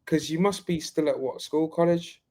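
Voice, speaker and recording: A man's voice: on the high side, moderate pace, mellow. A man interviewing a guest in casual chat, saying "okay, cool" often and repeating phrases.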